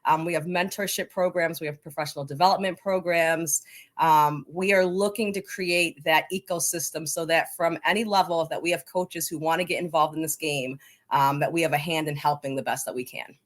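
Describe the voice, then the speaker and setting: A woman's voice: sits low, steady tone, a little fast. A woman answering interview questions as a soccer coaching advocate, in an emphatic, passionate conversational way.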